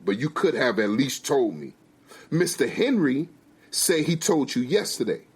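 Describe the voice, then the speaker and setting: A man's voice: medium pitch, sing-song, a little slow, slightly rough. A man voicing a hot-headed, indignant husband and father who speaks emphatically and with exasperation. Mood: apologetic, defensive.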